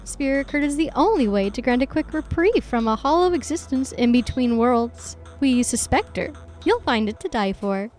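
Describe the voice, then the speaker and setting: A woman acting as an eerie, deadpan advertiser in a morbid mock radio commercial. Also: moderate pace, few pauses, soft background music. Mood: morbid, selling. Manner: morbid, deadpan sales pitch. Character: eerie female advertiser of cheese for the dead.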